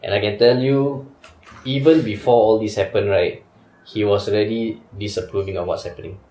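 Low-voiced Singaporean man hosting. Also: steady tone, moderate pace, dark tone, roomy sound, a little hiss or hum, low-quality recording. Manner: leads the talk, asks for reactions. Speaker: podcast host. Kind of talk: casual chat. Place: small indoor studio with couches.

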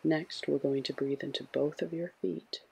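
A man with a husky, breathy voice gently guiding a sleep meditation in a soothing, hushed way. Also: fairly high pitch, fairly even intonation, moderate pace, low-quality recording. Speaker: meditation guide.

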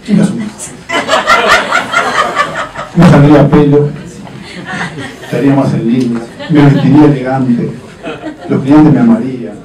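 A man's voice: mid-range voice, fairly even intonation, unhurried, long pauses, far from the mic, a crowd in the background. A man in his forties from Uruguay with a Uruguayan accent, doing a rambling, self-mocking stand-up routine into a handheld mic on a club stage. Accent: Uruguayan Spanish. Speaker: stand-up comedian. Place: dark comedy club stage with live audience. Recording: roomy club sound, audience laughter.

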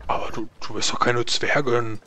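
A man's voice: mid-range voice, fairly even intonation, sharp tone, lightly acted. Man playing a naive, eager adventurer. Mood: naively insistent. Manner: halting, repetitive, earnest. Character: naive, eager, simple-minded male adventurer. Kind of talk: improvised tabletop roleplay.

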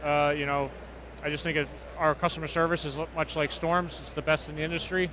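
A pro shop owner being interviewed on a busy trade show floor, quick and enthusiastic. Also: male voice, a little high, level tone, background noise.

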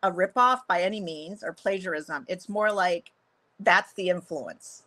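Middle-aged woman guest, crisp bright tone, chatty and rambling, webcam audio from home. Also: measured pace. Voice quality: deeper voice for a woman. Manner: chatty, many fillers and restarts, laughs often. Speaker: guest, photographer and songwriter. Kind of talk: casual interview chat. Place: home room, video call.

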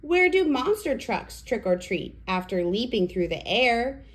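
A woman's voice: on the high side, big pitch swings, a little slow. A woman in her thirties presenting to toddlers and reading picture books aloud in simple, exaggerated child-directed speech. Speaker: children's educational presenter and storyteller. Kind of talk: toddler lesson and picture-book read-aloud.

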